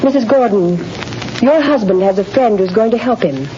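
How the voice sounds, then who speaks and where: On a muffled, hissy old radio recording, an actress plays an earnest woman helper. She speaks in a slightly high voice, composed and reassuring, in classic radio drama style. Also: animated, moderate pace, dark tone, lightly acted. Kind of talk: 1930s radio drama acting.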